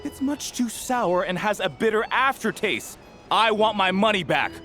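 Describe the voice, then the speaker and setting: A pompous, outraged diner in an animated game. He is high-pitched and piercingly bright, with a haughty, demanding delivery over music. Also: moderate pace. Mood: outraged, indignant. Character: pompous, outraged, fussy restaurant customer.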